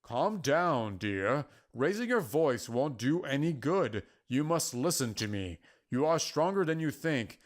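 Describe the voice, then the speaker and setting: A man voicing a patient, cryptic mystical guide in a story, with measured, formal, archaic phrasing. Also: mid-range voice, big pitch swings. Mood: firm, reassuring. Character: Lazarus, solemn mystical male prophecy keeper, patient and cryptic.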